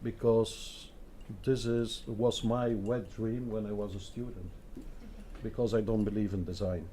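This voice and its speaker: A man's voice: lowish voice, flat delivery, measured pace, mellow, slight background noise. A man speaking as a designer on a talk panel, candid and musing, checking in with his listeners. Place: event venue in front of an audience.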